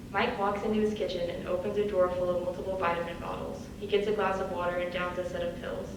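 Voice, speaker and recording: A woman reading scripted stage directions as a narrator in a live reading. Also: lowish voice. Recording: distant echoey hall, clear background noise.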